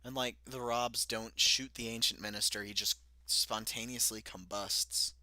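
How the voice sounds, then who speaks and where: A man's voice: on the low side, fairly even intonation, a little slow, sharp tone, no room sound. A young man reading aloud a book he wrote as a child, breaking off into self-mocking, rambling asides. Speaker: reader of his own childhood book. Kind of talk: casual read-through with commentary.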